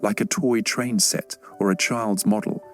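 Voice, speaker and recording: A man's voice: fairly low pitch, steady tone, measured pace, soft background music. A man reading a scripted children's story as an audiobook narrator.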